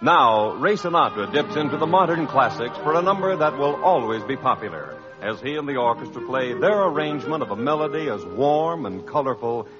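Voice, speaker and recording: A man's voice: mid-range voice, expressive, brisk, hardly any pauses, music in the background. A man announcing acts on an old radio variety show with grand, showy introductions. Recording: dull vintage radio broadcast with loud orchestra.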